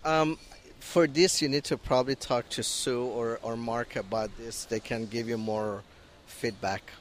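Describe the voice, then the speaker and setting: Young male activist, Iranian accent, halting and filler-heavy, recorded outdoors on a handheld mic with faint traffic behind. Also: mid-range voice, fairly even intonation. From Iran. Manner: hesitant, many restarts and fillers. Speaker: activist at a rally. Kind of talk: street interview. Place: outdoors at a street protest. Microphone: handheld interviewer mic.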